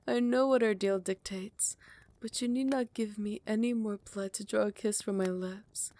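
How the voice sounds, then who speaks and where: A woman playing a possessive yet tender royal vampire in love, speaking in formal, old-fashioned phrasing with breathy half-whispers in an intimate ASMR roleplay. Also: medium pitch, warm tone. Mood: tender, seductive.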